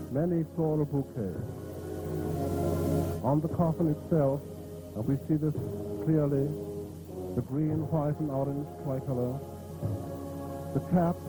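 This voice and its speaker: A man with an Irish accent giving grave, measured live commentary on a funeral procession in an old radio style, pausing between short phrases. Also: on the high side, poor audio. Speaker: radio outside-broadcast commentator. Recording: old reel-to-reel radio tape, band music behind. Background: loud marching band music.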